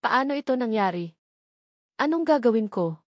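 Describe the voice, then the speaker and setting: A woman's voice: a little high, expressive, moderate pace, no echo, clean studio sound, lightly acted. A woman voicing an anxious, vengeful, defeated man in audiobook dialogue.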